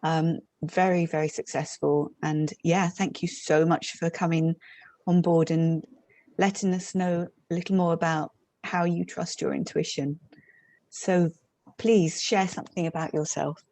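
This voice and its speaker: A woman's voice: deep voice, fairly even intonation, measured pace, mellow, dry recording. A woman hosting an interview, introducing and welcoming her guest. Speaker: interview host.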